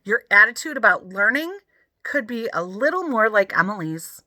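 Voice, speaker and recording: Woman acting storybook father, preachy, earnest, firm, instructive, bright. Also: medium pitch, sing-song, normal speed, no echo, studio quality. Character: earnest, preachy father who pushes learning.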